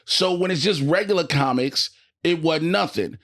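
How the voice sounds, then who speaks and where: A man's voice: on the high side, normal speed. American man in casual, slangy podcast banter. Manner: animated, profane, slangy. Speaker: comedian and podcast co-host.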